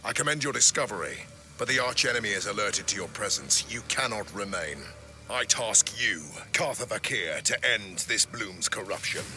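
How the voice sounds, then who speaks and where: A man playing a stern, imperious grandmaster of a sci-fi knightly order in a video game, speaking in solemn, archaic phrases with a gravelly voice. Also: fairly low pitch, lively intonation, moderate pace. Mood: commanding. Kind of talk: dramatic video game voice acting. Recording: loud music under the voice.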